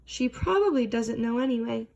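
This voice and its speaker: A woman's voice: a little high, expressive, moderate pace, gravelly, darkish tone, lo-fi sound. A woman voicing a puzzled, meddling male school principal in a storybook, musing and fretful.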